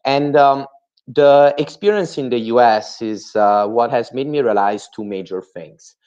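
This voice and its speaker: A man's voice: mid-range voice, unhurried, darkish tone, smooth. A young man from Italy with an Italian accent, presenting a webinar talk with frequent "uh" fillers. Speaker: guest speaker. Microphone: video call. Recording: muffled online call audio.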